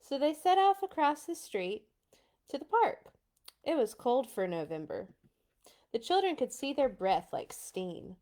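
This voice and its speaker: A woman narrating a children's storybook aloud in an expressive read-along style. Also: high voice, a little slow.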